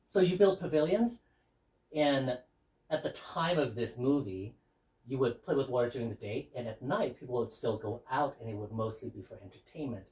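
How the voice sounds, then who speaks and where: A man from Burma hosting guests at his home, casually explaining a festival with a lively storytelling manner. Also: mid-range voice, normal speed, low-quality recording. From Mandalay, Burma. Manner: lively storyteller, laughs while telling. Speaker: host. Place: home in Brooklyn.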